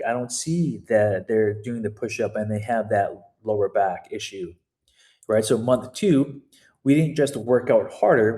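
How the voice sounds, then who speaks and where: Man, Canadian accent, fitness coach, mid-range pitch, conversational, rambling video walkthrough. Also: a little mellow. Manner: conversational, digressive, talks to viewers directly.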